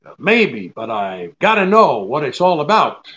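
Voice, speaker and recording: An actor playing a shrewd, cool private detective in an old-time radio drama, wry and blunt, hesitating with the odd uh. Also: male voice, a little high, very animated, measured pace, a bit bright. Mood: brisk, firm. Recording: dull, lo-fi audio.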